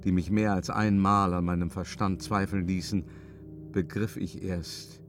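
A man's voice: low-pitched, flat delivery, a little slow, soft background music. A man acting a mocking, self-assured opera house director in a German radio drama, both narrating and conversing. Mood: measured, narrating. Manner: incredulous, mocking, swooping into scorn.

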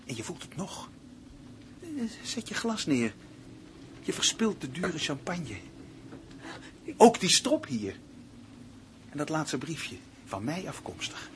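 An actor in a radio play playing a cold, calculating man who taunts his partner in a theatrical, deliberate, mock-sweet way. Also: a little high, big pitch swings, a bit bright, slight background noise, full character voice. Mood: gloating menace. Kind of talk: Dutch radio play acting.

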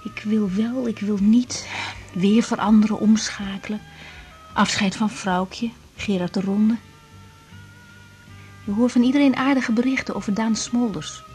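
A woman's voice: medium pitch, steady tone, a little slow, faint music behind, lightly acted. An actress playing a hesitant young woman doctor. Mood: reflective. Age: young adult. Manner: hesitant, with soft whispered asides. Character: young woman doctor, thoughtful and self-doubting. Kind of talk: 1980s Dutch radio drama.

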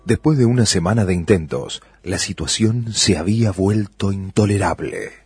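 A man as a deep-voiced solemn Argentine storyteller. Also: moderate pace, darkish tone. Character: solemn male storyteller of a monastery tale. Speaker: narrator. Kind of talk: scripted comic radio-play narration. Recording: music behind the voice.

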